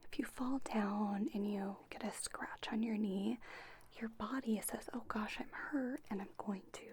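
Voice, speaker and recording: A woman softly whispering an ASMR roleplay as a spa owner, giving gentle, patient step-by-step instructions. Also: medium pitch, fairly even intonation, measured pace, husky.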